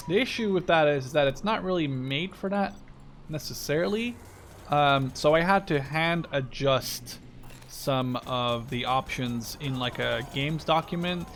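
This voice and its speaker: Young dutch-born guy gaming, chatty and sweary, thinking out loud, bit of background noise. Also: medium pitch, a little slow. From Netherlands. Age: 20s. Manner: informal, sweary, thinking aloud. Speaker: gaming video creator. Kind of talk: casual gameplay commentary.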